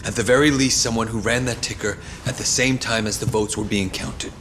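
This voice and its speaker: An actor playing a ruthless, imperious politician crime boss in a video game, arrogant and volatile. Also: male voice, fairly low pitch, fairly even intonation, a little fast, fairly bright tone, a little hiss or hum, natural voice. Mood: cold, commanding. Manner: imperious, profane. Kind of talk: English video game voice acting.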